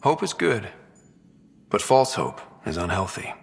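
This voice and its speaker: An actor playing a wry, steady young expedition leader in a video game, with a husky voice. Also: male voice, fairly low pitch, unhurried, heavily acted. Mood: steady, supportive. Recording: game dialogue over faint music.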